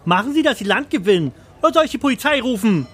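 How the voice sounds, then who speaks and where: Man putting on a high-pitched, indignant woman. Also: sing-song, fast talker, breathy edge, faint music behind, heavily acted. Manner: sharp, rebuffing. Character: indignant woman passer-by threatening to call the police. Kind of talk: audio story dialogue.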